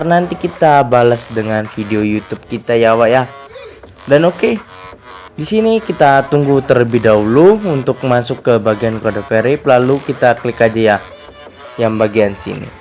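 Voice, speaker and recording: Casting: male tutorial host, mid-pitched slightly hoarse voice, chatty casual delivery, music underneath. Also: moderate pace, darkish tone. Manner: chatty, repetitive verbal tags. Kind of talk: casual screen tutorial narration.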